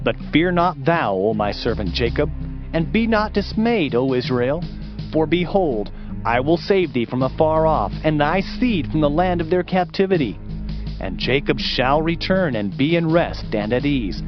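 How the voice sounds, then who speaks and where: A man's voice: fairly high pitch, expressive, a little slow. A man reading scripture as a dramatized audiobook in a solemn, declamatory manner. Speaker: audiobook narrator. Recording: dull lo-fi audio under music. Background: music.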